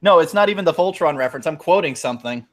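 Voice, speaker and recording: A man's voice: fairly high pitch, animated, rapid-fire, fairly bright tone. A man in his twenties in a casual group chat, blunt and crude, swearing and cracking wry jokes. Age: 20s.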